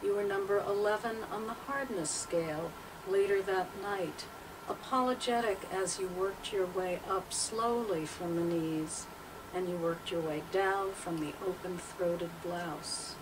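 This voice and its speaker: An older woman speaks slowly and deliberately, like a poet used to reading aloud. Her pitch is medium, and she sounds some way from the microphone, with clear noise behind her. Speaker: poet and teacher. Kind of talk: interview talk and poetry reading aloud. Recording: distant mic, clearly noisy background.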